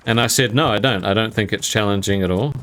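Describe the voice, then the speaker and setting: A man's voice: on the low side, fast, fairly bright tone. A young man casually telling a story while gaming, rambling and laughing often, with some mild swearing. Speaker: gaming channel host.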